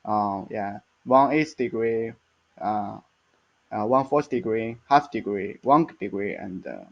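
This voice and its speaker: A man giving a technical slide presentation as a research scientist, speaking in a halting, hesitant way. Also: fairly low pitch, dull audio.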